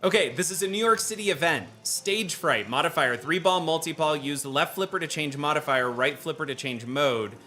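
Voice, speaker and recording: A man streaming a pinball game live, excitable, laughing easily and stammering as he reacts to chat. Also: fairly high pitch, moderate pace, few pauses.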